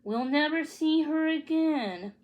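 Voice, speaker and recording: A woman's voice: sits high, slow pace, highs cut off. A woman voicing an earnest, pleading young boy in a children's storybook, with an emphatic, imploring manner. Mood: sad.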